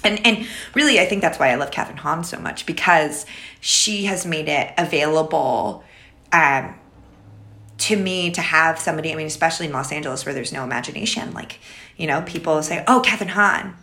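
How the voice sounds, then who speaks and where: Woman, 30s, raspy low alto, loose chatty storyteller on a podcast. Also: fairly quick, pushed and bright. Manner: rambling, many fillers and restarts. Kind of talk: casual podcast conversation.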